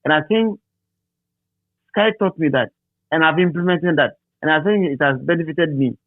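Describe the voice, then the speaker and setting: A middle-aged man with a Ghanaian accent speaks in a slightly high voice over a narrow, phone-like line. He reminisces casually, repeating phrases to drive a point home. The sound is dry, with no room around it. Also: moderate pace, no echo. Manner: repeats and restates phrases for emphasis. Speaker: interviewee, radio DJ.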